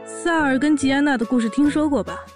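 A woman's voice: high voice, fast, over music, natural voice. A woman playing a brash, boastful pregnant orc warrior, a sassy and bossy older sister, in a fan audio drama. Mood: wry, gossipy. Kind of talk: audio drama acting and narration.

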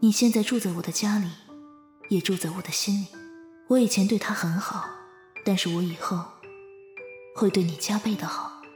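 A woman acting a protective, mature lover in a modern radio drama, tender, measured and intimate. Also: medium pitch, natural voice. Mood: devoted. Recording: roomy echo, music behind.

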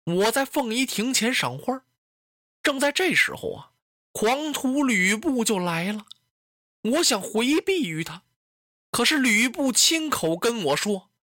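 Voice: mid-range voice, sharp tone, dry recording, clean studio sound, voice pushed up. A storyteller voicing a young female concubine, tearful, pleading and indignant, in a traditional historical tale. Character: distressed young beauty, a cunning tearful concubine.

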